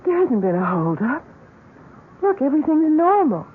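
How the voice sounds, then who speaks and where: A woman's voice: high voice, big pitch swings, normal speed. An actress playing a bubbly, curious young girlfriend who is easily unsettled, in an old-time radio drama. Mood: bewildered. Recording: muffled vintage radio broadcast, faint noise.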